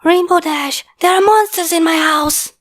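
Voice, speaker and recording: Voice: extremely high pitch, moderate pace, a bit bright, pitched above the performer's own voice. A reader voicing a timid, frightened little female pony in a cartoon fan fiction story.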